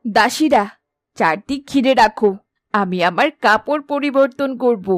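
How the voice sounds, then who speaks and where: A woman's voice: on the high side, a little slow, a little hiss or hum, lightly acted. A woman playing a vain, cruel, imperious queen in a fairy tale cartoon. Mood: angry, commanding. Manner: imperious, commanding.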